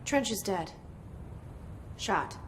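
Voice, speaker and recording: A woman playing a terse, guarded young heroine in a video game cutscene, measured, hesitant and matter-of-fact. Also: medium pitch, big pitch swings. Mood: guarded, flat. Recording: long reverb, faint ambient noise.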